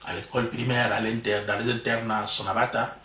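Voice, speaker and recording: A man's voice: on the low side, little pitch movement, a little fast, bright, forceful tone. An older man with a Congolese French accent, talking proudly and expansively as a guest in an interview at home, laughing easily. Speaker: interview guest, retired professor. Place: living room at home. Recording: roomy home recording from a distance.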